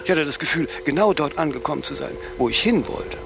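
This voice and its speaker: Haughty older fashion designer, fairly high voice, self-mythologizing, aphoristic, tag questions, radio play, noisy street-like ambience. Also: male voice, animated, quick pace, creaky. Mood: grand, reminiscing. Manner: aphoristic, haughty, tags sentences with "ne?". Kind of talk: German radio play dialogue and monologue.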